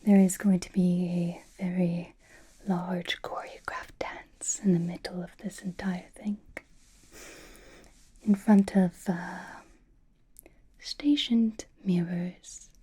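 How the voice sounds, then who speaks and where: A woman playing an elegant, teasing female vampire noble in an ASMR roleplay, speaking in a soft, breathy whisper with a refined manner. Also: on the low side, level tone, heavily acted. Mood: wry, amused. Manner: slow, refined, wryly teasing.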